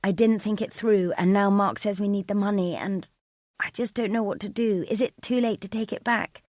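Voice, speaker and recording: A female narrator acts out an anxious woman from an audiobook story. She pleads and apologises in halting phrases, quick to excuse herself and easily overwhelmed. The sound is close and dry. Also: fairly low pitch, a little mellow, no echo. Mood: panicked, pleading.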